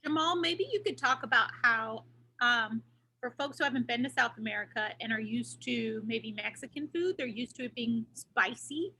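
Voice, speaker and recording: A woman moderating an online cooking class, asking guiding questions and explaining in a relaxed way over a video call. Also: on the high side, moderate pace, slight background noise. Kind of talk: casual online class chat.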